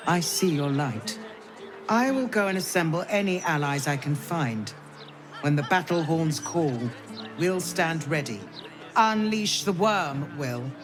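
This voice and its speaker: Stern loyal noble lady advisor, fantasy game, talks slow and formal, music playing behind her. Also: deep voice. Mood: solemn, resolute. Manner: solemn, formal, measured delivery. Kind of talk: dramatic video game cutscene acting.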